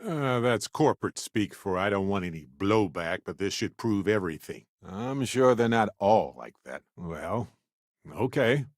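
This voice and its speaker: Low-voiced, wry space explorer. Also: male voice, measured pace, dry recording, studio quality. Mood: earnest. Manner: playful, expressive, conversational, wry. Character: wry, affectionate, talkative male spacefaring explorer companion. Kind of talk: video game companion dialogue.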